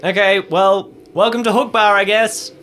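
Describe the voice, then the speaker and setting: A man playing a brisk, blunt, matter-of-fact local in a tabletop game, pressing people with questions. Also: high-pitched, fairly even intonation, pushed and bright, slight background noise, voice pushed up. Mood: dry.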